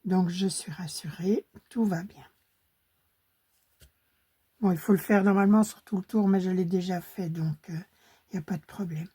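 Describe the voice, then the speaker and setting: A woman with a Belgian French accent, hoarse from a cold, calmly teaching a crochet pattern step by step and counting stitches under her breath. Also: fairly low pitch, moderate pace, pauses often, no room sound.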